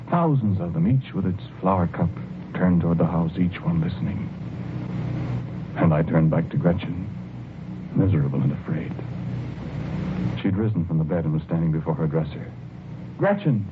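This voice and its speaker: An actor playing a haunted, fearful husband in an old-time radio horror drama, speaking slowly and haltingly with brooding, heavy pauses. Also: male voice, low voice, background noise, close to an everyday voice. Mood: dread-filled. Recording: muffled, tinny vintage radio recording with music. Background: loud music.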